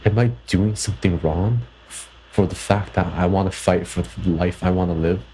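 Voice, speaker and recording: A man's voice: sits low, fairly even intonation, moderate pace, warm tone, slight background noise, poor audio. A young man from Canada in a personal vlog, reflecting thoughtfully and hesitantly, often restarting his sentences. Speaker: vlogger.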